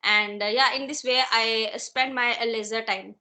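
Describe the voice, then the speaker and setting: Bright high-voiced Indian woman chatting. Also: steady tone, normal speed. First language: Hindi. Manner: hesitant, many fillers, friendly and chatty. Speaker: guest, English learner. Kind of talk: casual conversation practice on a call.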